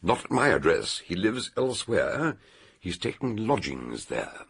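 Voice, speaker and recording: An actor playing an eccentric older British detective in a radio drama, theatrically and deliberately laying out a murder solution in a gravelly, husky voice. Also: male voice, lowish voice, very animated, fairly bright tone, exaggerated voice. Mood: assured, expository.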